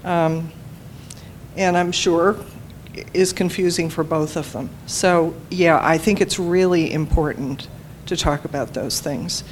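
A woman calmly explaining background in a group discussion. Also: sits low, takes it slowly, slight background noise.

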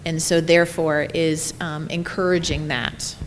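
Low-voiced woman explaining memory care. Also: little pitch movement, unhurried, a little hiss or hum. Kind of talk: panel discussion.

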